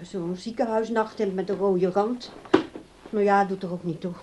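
A controlling grown woman, played by a radio actress, speaks at a medium pitch. Her delivery is brisk and formally polite, with a placating, evasive manner in the style of a radio play. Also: fairly even intonation, noisy background, natural voice. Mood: concerned. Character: polite but evasive, controlling adult daughter. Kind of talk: radio drama acting.

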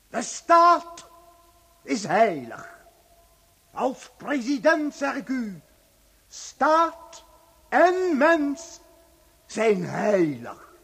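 A fanatical statesman in a radio drama, orating very slowly with dramatic pauses. His husky voice sits high and swings widely as he addresses his people with solemn grandeur. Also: a bit bright, exaggerated voice. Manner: declamatory oratory with dramatic pauses. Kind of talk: Dutch radio play acting.

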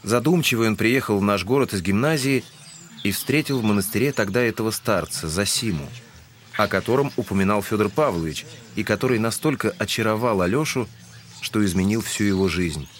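A man with a fairly low voice narrates classic literature for an audio drama. His delivery is scripted storytelling, in the role of narrator. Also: fairly quick, slight background noise.

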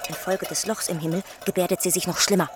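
An actress playing a young signalman's daughter in a radio play, calmly and deadpan telling of eerie things. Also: on the low side, quick pace, lightly acted. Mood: deadpan, foreboding. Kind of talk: German radio play dialogue.

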